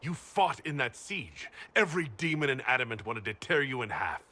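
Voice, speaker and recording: A man voicing a calm, dry-witted heroic leader in a video game, with a husky voice. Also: medium pitch, normal speed. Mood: dry, steady. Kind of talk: video game character acting.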